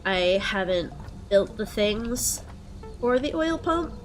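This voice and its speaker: A young woman with a head cold and a stuffed-up nose, chatting casually through gameplay and thinking out loud with plenty of ums. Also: mid-range voice, takes it slowly. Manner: relaxed, thinking aloud with frequent ums. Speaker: let's play host.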